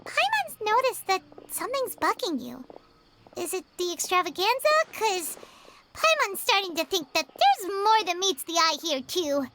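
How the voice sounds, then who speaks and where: A woman voicing a tiny, childlike fairy companion in an anime game, squeaky, chirpy and curious. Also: very animated, normal speed, heavy background noise, full character voice. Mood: curious, puzzled. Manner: chirpy, refers to self in third person. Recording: music behind the voice.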